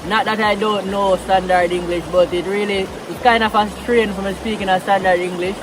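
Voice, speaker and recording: Relaxed Jamaican vlogger, a man with a high voice and a Patois accent, filmed outdoors by a river with noisy water behind. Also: brisk. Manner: relaxed, chatty, mixing Patois into English. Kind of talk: casual vlog talk outdoors.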